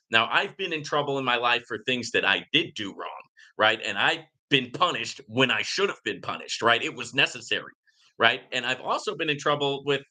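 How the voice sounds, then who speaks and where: Bright, forceful man livestreaming. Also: mid-range voice. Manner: outspoken, emphatic, repeatedly seeking agreement with "right?". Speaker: livestream host answering viewer comments. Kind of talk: casual live Q and A monologue.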